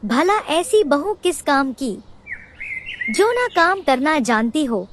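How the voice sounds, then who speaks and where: A scheming cartoon neighbour bird, played by a woman, speaking very high with big swoops in pitch. She is meddling and insinuating, with birdsong and noise clearly behind her. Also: sing-song, takes it slowly, full character voice. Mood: gossipy, scheming. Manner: insinuating, gossipy. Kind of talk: Hindi cartoon character acting.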